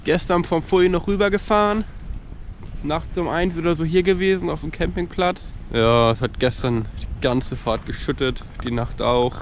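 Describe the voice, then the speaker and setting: A man's voice: fairly high pitch, normal speed. A man on a kayaking trip giving a casual update outdoors. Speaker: kayaker on an expedition. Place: outdoors at a campsite. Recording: outdoor camera, faint ambient noise.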